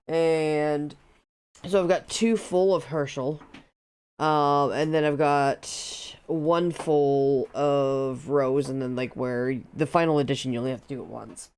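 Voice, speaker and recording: This is a woman with a low voice who is hosting a live game stream. Her nose is blocked up, so she sounds congested and nasal. She chats along in a loose, rambling way and fills the gaps with small hesitations. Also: steady tone, measured pace, a little mellow, clear, resonant tone. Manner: chatty and rambling, with many fillers. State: stuffed up, nasal from allergies. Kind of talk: casual live stream chat.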